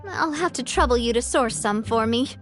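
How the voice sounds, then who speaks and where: A poised young gang leader, voiced by a woman, bright and high in pitch and gracious in her phrasing. Faint game music runs under her voice. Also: normal speed, natural voice. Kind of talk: video game voice acting.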